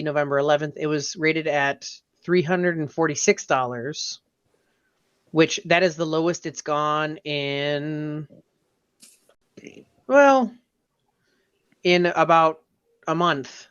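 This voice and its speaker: A man co-hosts a casual podcast in an unhurried voice. He banters loosely with friends at a slow pace. The sound is a little dull and lo-fi. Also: on the high side, a bit bright, smooth. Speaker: podcast co-host.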